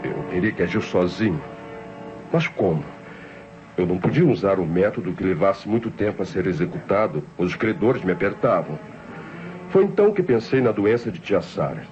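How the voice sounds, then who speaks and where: An actor playing a scheming, indebted nephew with Brazilian speech, narrating and acting theatrically in an old radio drama. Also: male voice, low voice, sing-song, moderate pace, a little mellow. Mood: calculating. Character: scheming indebted nephew, coldly plotting his aunt's murder. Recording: dull old radio recording with music behind.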